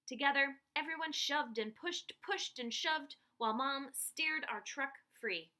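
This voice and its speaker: Bright-voiced woman reading a children's story aloud. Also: high voice, measured pace. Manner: animated, dramatic emphasis on story phrases. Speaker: teacher reading aloud to pupils. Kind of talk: expressive children's picture-book read-aloud.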